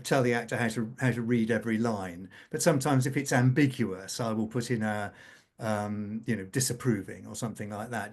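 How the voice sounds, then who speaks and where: Male panel guest, scriptwriter. Mid-pitched, conversational, explanatory, circles back on phrases as he goes. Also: darkish tone.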